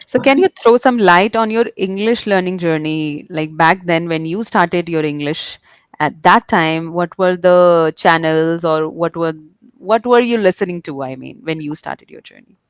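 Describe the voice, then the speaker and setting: A woman hosts a casual interview in a fairly low voice. The sound is dry and close, with no room around it. She listens attentively, puts questions to her guest, and keeps up a steady stream of "mm-hmm"s. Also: measured pace, clean tone, no echo. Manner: attentive, asks questions, frequent "mm-hmm" backchannels. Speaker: interviewer, host of the show.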